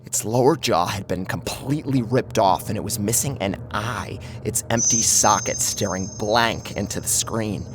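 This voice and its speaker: A man's voice: fairly low pitch, moderate pace, hardly any pauses. A man reading a scripted first-person horror story as a narrator, with a dramatic, theatrical delivery. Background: loud music.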